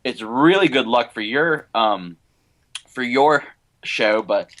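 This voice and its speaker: A man's voice: medium pitch, animated, slow pace, fairly bright tone. A young American man chatting casually as a podcast co-host, in an opinionated, rambling fan manner. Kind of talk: casual panel chat about films and animation.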